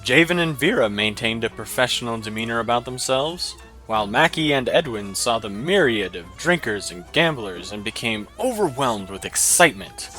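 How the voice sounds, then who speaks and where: A man narrating a fantasy short story in an even, descriptive manner. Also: medium pitch, moderate pace, soft background music.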